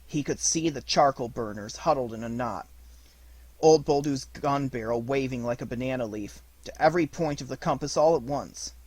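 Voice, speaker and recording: A man narrates a storybook as an audiobook reader. His voice sits at a middle pitch, and he reads in a scripted storytelling style. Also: normal speed, darkish tone, breathy edge, no room sound.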